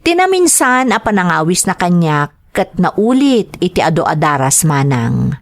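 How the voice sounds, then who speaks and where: Lively, coy young Ilocano mistress played by an actress. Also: female voice, fairly low pitch, normal speed. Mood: confiding narration. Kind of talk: Ilocano radio drama acting.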